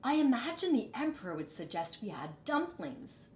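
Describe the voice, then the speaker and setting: A woman puts on an eager storybook villager, high and excited. The words are exclaimed with big emphasis and swooping pitch. It sounds in an echoey room. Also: moderate pace. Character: eager, excited villagers offering food for soup. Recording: echoey room, faint low rumble.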